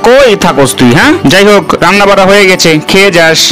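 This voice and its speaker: A man's voice: high-pitched, sing-song, fast, a bit bright, soft background music, poor audio. A man with a Bangladeshi accent voicing a hot-headed male fox in a cartoon, with an emphatic, scolding delivery. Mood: brisk, bossy.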